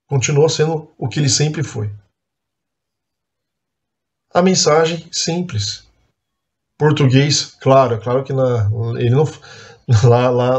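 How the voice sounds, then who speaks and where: A man's voice: mid-range voice, a little mellow. A man from Brazil teaching an adult Sunday school class in a conversational, admonishing way, dotting his talk with tag questions and fillers. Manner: earnest, admonishing. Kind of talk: church Bible class teaching.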